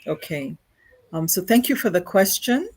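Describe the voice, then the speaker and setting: A guest speaker from Kenya with a Kenyan accent, answering questions in a halting way and often repeating words while explaining. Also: mid-range voice, very animated, breathy edge. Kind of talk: answering questions at an online conference.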